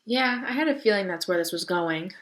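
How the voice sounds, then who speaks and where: A woman's voice: medium pitch, animated, brisk, a bit bright, close to an everyday voice. A woman voicing a sassy, skeptical female crow in a read-aloud story, blunt and teasing in a casual way. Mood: exasperated.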